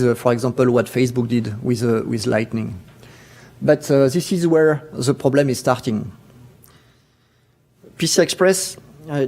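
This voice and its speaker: A man's voice: medium pitch, a little mellow. French man giving a tech talk. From France. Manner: halting, many fillers and restarts. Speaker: conference speaker. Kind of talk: technical conference presentation.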